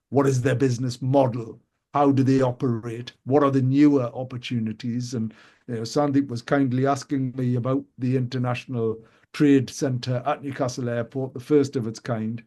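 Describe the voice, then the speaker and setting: Male radio host, mellow and warm, animated and prone to restarting sentences. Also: medium pitch. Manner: animated, quick questions, many restarts. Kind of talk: casual business chat on live radio. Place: radio studio.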